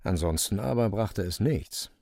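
A man's voice: fairly low pitch, lively intonation, measured pace, gravelly, darkish tone, no echo, studio quality. A man narrating a literary radio play as a wry, vivid storyteller with dramatic delivery.

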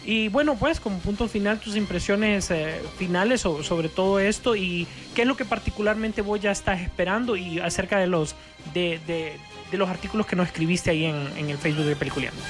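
A Honduran man hosts a casual film show in a noticeably high voice. He talks in long, wordy stretches, stumbling over repeated words. Light music plays behind him. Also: brisk, few pauses. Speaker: radio show host. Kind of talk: casual, chatty film talk. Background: background music.

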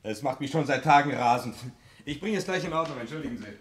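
A man's voice: mid-range voice, lively intonation, quick pace, background noise, natural voice. A man playing an easygoing, dryly witty police sergeant in a 1960s German radio drama. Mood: dry, genial.

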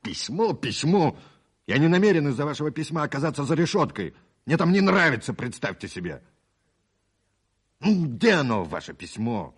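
A man's voice: fairly high pitch, big pitch swings, moderate pace, breathy edge. An actor playing a cynical, mocking male thief in a radio play, with a sneering, theatrical delivery. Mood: irritated. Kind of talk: 1980s Soviet radio play acting.

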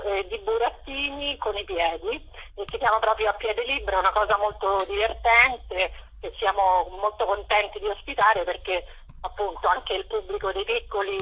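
A woman's voice: mid-range voice, husky. A woman guest on a radio interview, informally presenting a programme as its organiser over the telephone. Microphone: telephone call. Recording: muffled, lo-fi telephone line.

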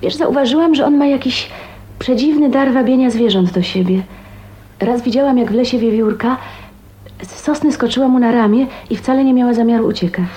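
An actress playing a kind, spirited woman of twenty-nine in a radio drama, earnest, chatty and expressive. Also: on the high side, a little fast, natural voice. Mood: enchanted. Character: kind, spirited 29-year-old woman, dreamy and plain-spoken. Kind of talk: Polish radio drama acting.

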